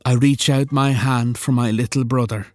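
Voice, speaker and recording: A man narrating a first-person horror story in a deliberate, sombre storytelling manner. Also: mid-range voice, fairly even intonation, darkish tone, no room sound.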